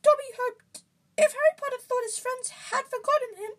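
A woman voicing a small, anxious male house-elf from a storybook, squeaky and pleading, servile yet stubborn, speaking of himself in the third person. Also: moderate pace, fairly bright tone. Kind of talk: storybook character voice.

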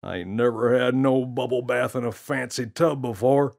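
A man's voice: medium pitch, moderate pace, vocal fry, darkish tone, close to an everyday voice. A man voicing a brash, cocky male talking gorilla who brags and jokes in a slangy way. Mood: cocky, teasing. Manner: slangy, boastful, sly jokester.

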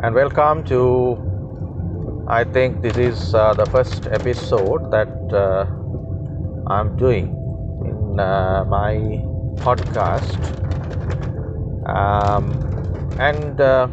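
A man from Sri Lanka, a Sinhala speaker, hosting a casual solo podcast while he drives, pausing often and filling gaps with "uh". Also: on the low side, background noise. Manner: halting, many pauses and fillers. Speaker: podcast host. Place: in a moving car. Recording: dull lo-fi car recording with music.

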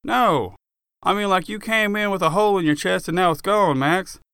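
A man's voice: high voice, normal speed, creaky, bright, forceful tone, smooth, dry recording, studio quality, pitched above the performer's own voice, exaggerated voice. A man voicing a furious, exasperated cop who confronts his friend in emphatic, demanding outbursts for an audiobook. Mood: agitated, insistent.

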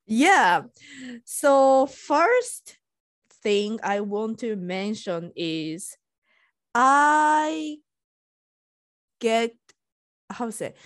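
A woman whose first language is Japanese, co-hosting a casual podcast and pausing to search for her words. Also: mid-range voice, no room sound. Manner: slow, hesitant, searching for words. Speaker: podcast co-host.

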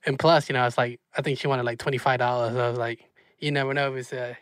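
A man's voice: medium pitch, level tone, fast talker, a bit bright, smooth, no room sound, clean studio sound. A man hosting a casual podcast chat, laid-back and laughing often, with lots of fillers. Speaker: podcast host.

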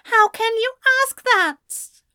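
A woman voicing a tense, sharp-tongued young man who snaps back in clipped retorts. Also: very high-pitched, expressive, moderate pace, pitched above the performer's own voice. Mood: hurt.

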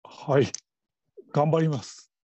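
A woman's voice: deep voice, takes it slowly, mellow, husky, no room sound, exaggerated voice. A woman playing a nervous, humble call-centre trainee in her fifties in a radio drama, stammering and stiffly over-polite. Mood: timid, tense. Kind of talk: Japanese radio drama acting.

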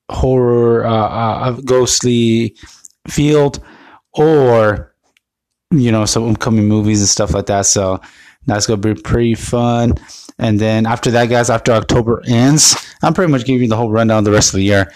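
A man hosting his own podcast, a bit raspy from being under the weather, talking in a loose, rambling way with many fillers and restarts. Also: medium pitch, a little mellow, no room sound. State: slightly raspy from illness. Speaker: podcast host. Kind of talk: casual solo podcast update.

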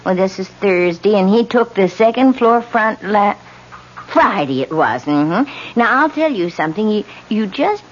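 A woman's voice: mid-range voice, animated, unhurried. An actress playing a nosy, motherly spinster boarder with a fussy, insistent way of talking in a 1970s radio drama. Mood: prying, concerned. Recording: dull lo-fi old radio broadcast.

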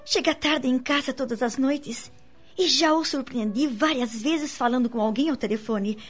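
A woman plays an anxious, insecure Brazilian wife in a radio soap. Her voice sits fairly high and is husky, with earnest, formal, melodramatic phrasing. The sound is dull and lo-fi, with soft music underneath. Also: moderate pace. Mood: hurt, suspicious. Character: anxious, insecure young wife and mother, pleading. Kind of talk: radio soap opera acting.

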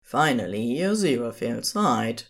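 Woman narrating a story, deep voice, takes her time, reads it out as scripted fiction. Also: sing-song, a little slow.

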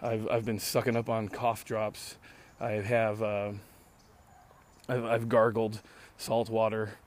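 Hoarse man in his late 30s rambling. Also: on the low side, completely flat delivery, takes it slowly. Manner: rambling, sardonic, swears freely. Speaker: podcast host. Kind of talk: casual solo podcast monologue. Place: outdoors in a public park.

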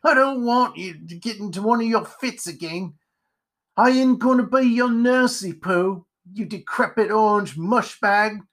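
Narrator as a crabby spider, higher pitch, folksy grammar, exasperated scolding, storybook character. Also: measured pace, a bit bright, close to an everyday voice. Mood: irritated. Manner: folksy ungrammatical scolding with colorful insults. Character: grumbling, scolding, irritable one-eyed old spider.

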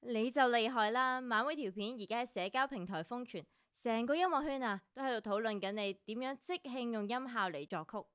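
Lady acting as confident radio boss, hong kong cantonese, bright crisp voice, slightly high, brisk and pro. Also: no echo, clean studio sound, lightly acted. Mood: impressed, persuasive. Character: savvy, confident female radio director and interviewer. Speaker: radio host and director.

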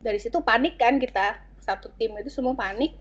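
A woman tells a story from her interpreting days in lively, slangy casual chat. Her voice sits a little high and carries a husky edge. The recording sounds dull and lo-fi. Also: quick pace. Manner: animated, slangy, many fillers and restarts.

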